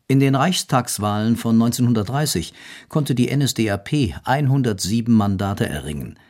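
A man's voice: fairly low pitch, a little mellow. A man reading scripted documentary narration in a sober, matter-of-fact way.

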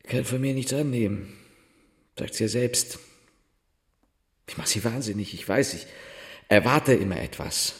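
A tormented husband in a literary radio play. His mid-pitched voice swings widely as he broods haltingly, blames himself and breaks into bitterness. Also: lively intonation, vocal fry. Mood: drunken, despairing. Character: tormented, self-reproaching husband, drinking through the night. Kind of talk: German literary radio drama acting.

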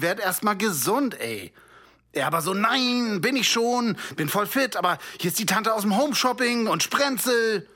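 An actor playing an exasperated former colleague, animatedly recounting phone calls in a colloquial interview and mimicking the other caller. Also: male voice, high-pitched, bright, forceful tone, exaggerated voice.

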